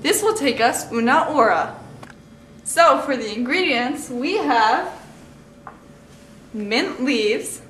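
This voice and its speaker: A woman's voice: high-pitched, animated, slow, pauses often, fairly bright tone, a little hiss or hum. Giggly teenage girl hosting a mock cooking show. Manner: giggly, hammy, stumbles over words. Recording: echoey room.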